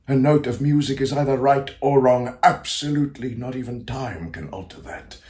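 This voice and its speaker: An actor playing an aged, grandiose Italian composer near death, confiding in a theatrical stage monologue with a creaky voice and self-mocking manner. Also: male voice, sits low. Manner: theatrical, declamatory, swinging to hushed asides.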